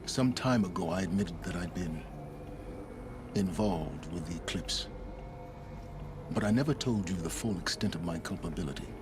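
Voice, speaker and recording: A deep, scheming scholar. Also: male voice, expressive, noisy background. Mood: grave. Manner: grave, measured, cryptic. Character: secretive scheming male scholar, grave and cryptic. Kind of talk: video game dialogue.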